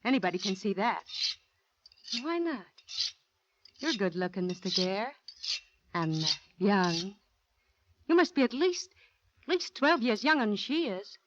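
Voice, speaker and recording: A woman's voice: mid-range voice, big pitch swings, a little slow, long pauses. A woman acting a bold, sly young housekeeper in 1940s radio drama, with an insinuating, teasing, overly familiar manner. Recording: vintage 1940s radio, dull with hiss.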